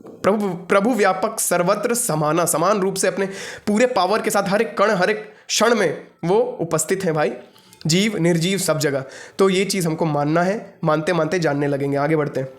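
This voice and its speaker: A young man with a notably high voice delivers a spiritual discourse. He is earnest and instructive, moving between reading and informal explanation. He often repeats short phrases to press a point home. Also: moderate pace. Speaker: spiritual teacher.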